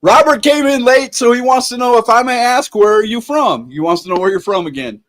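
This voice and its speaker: Man hosting, high voice, animated delivery, enthusiastic, stumbles and repeats. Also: a little fast. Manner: enthusiastic, repeats words and restarts. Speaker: interview host reading viewer questions. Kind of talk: casual online interview.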